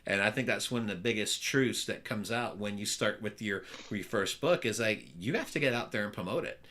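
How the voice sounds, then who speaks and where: Loud upbeat host guy, kinda low voice but bright and punchy. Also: fairly quick, a little hiss or hum. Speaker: podcast host. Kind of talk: casual interview chat.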